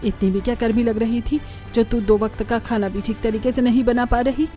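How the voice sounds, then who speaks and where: A woman's voice: fairly high pitch, steady tone, brisk, warm tone, faint music behind, lightly acted. A woman voicing a scolding, exasperated middle-aged mother-in-law in a Hindi cartoon family story. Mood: scolding, annoyed. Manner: nagging, exclamatory.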